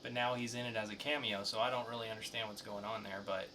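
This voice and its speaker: A man's voice: on the low side, fairly even intonation, quick pace, noisy background. A man co-hosting a podcast, talking casually through pop-culture news. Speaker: podcast co-host.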